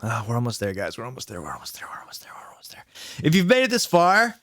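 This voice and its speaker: A man's voice: mid-range voice, huge pitch swings, fast, a bit bright. Male host for comic read-aloud: mid-range voice, exasperated, stumbling, mentally drained. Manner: stumbles over misspelled words, breaks off into exasperated asides. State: mentally worn out from long reading. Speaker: YouTube host reading fan fiction aloud. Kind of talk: comedic dramatic read-aloud with asides to viewers.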